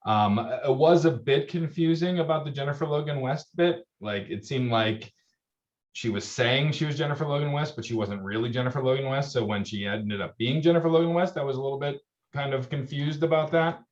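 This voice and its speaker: A man's voice: medium pitch, brisk. A man speaking as a guest over a video call, hesitant and stammering. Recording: dull lo-fi call audio.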